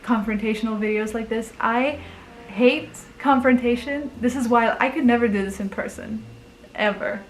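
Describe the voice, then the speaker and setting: A young woman from Belgium talks to her camera at home, earnest and a bit nervous. Her voice sits a little high. She rambles, leans on "like", and restarts her sentences, with faint music playing underneath. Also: rough recording. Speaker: vlogger. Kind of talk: casual talk to camera. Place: home in Osaka, talking to a camera.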